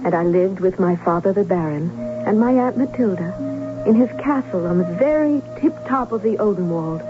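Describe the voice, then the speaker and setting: An actress playing a romantic, dutiful young bride-to-be in an old radio drama, formal and earnest. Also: female voice, medium pitch, lively intonation, normal speed, natural voice. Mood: earnest, eager. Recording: dull old radio recording, music behind.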